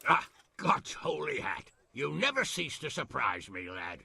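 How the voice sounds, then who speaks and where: An actor playing a gruff, hot-tempered medieval lord in a game, with a raspy, gravelly voice and blustery manner. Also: male voice, medium pitch, wildly swooping pitch, a little slow, bright, forceful tone, no echo, full character voice. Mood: sardonic, astonished. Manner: blustery, sardonic. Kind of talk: video game cutscene acting.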